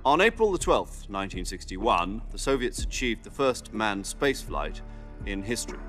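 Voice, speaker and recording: An actor playing a veteran military commander briefing his agent in a video game cutscene, brisk and authoritative. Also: lowish voice, very animated, a bit bright, faint music behind. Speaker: mission commander.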